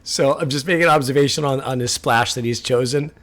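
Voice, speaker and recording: Male reaction host, casual and chatty, slightly raspy from a lingering sore throat. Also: mid-range voice, a bit bright. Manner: chatty, laughs often, pauses the track to comment.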